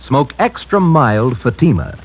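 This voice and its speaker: A man's voice: mid-range voice, wildly swooping pitch, a little slow, breathy edge. A man reading an old radio commercial as an announcer, with emphatic, polished salesmanship. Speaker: announcer reading a cigarette advertisement. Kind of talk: vintage radio commercial pitch. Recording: muffled old radio broadcast.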